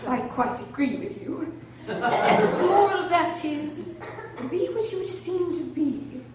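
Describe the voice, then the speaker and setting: Chummy, preachy storybook Duchess; high, affected, theatrically gushing radio acting, distant and noisy recording. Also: female voice, expressive, measured pace. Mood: pompous, chuckling. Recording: echoey room, distant mic, clear background noise.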